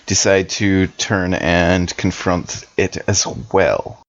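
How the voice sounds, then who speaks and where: A man's voice: sits low, slow, dry recording, highs cut off. A man talking casually as a player in a tabletop game.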